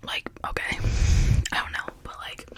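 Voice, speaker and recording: A person speaking in a breathy, unvoiced whisper, rambling in a confiding, personal way for an ASMR video. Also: normal speed.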